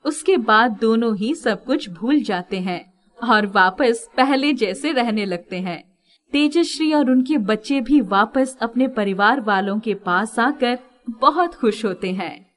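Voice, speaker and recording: A woman narrating a scripted moral story for children as the storyteller. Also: fairly high pitch.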